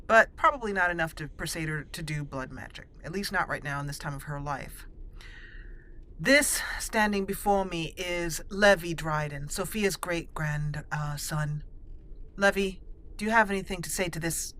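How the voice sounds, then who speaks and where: A woman narrating a roleplay of a fantasy game, earnest and thoughtful as she explains her character's thoughts. Her voice is fairly low for a woman but bright in tone, with a faint background hum. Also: moderate pace.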